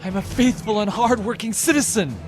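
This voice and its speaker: A man's voice: high voice, a little fast, over music, close to an everyday voice. A man acting in an audio drama as a terrified, pleading citizen condemned by his rulers. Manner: pleading, frantic.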